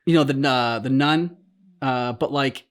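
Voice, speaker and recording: Man chatting casually, halting and rambling. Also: mid-range voice. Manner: rambling, halting, searching for words. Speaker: host of a game reaction show. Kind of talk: casual chat, commentary on game cutscenes.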